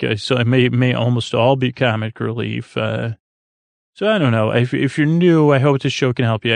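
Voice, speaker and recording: A man hosting a bedtime podcast in a creaky voice, meandering and halting through tangents and self-corrections in a lulling, reassuring way. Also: medium pitch, dry recording. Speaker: podcast host. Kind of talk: rambling bedtime sleep podcast monologue.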